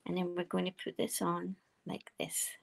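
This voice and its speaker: A woman with a quiet voice, chatting and explaining each step as she demonstrates card making at her craft desk. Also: on the low side, monotone, moderate pace, gravelly. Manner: chatty, explaining steps while working.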